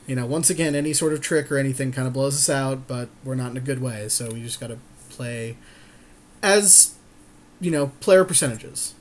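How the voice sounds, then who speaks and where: A man's voice: mid-range voice, moderate pace. A man chatting informally and agreeably as he co-hosts commentary on a game, using casual slang. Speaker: podcast co-host commentating a card game.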